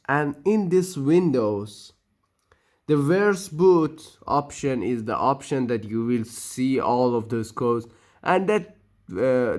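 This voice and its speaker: A man whose first language is Persian, narrating a computer setup walkthrough with frequent pauses, restarts and loose non-native phrasing. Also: medium pitch. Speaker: tech tutorial maker. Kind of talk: screen-recorded walkthrough narration.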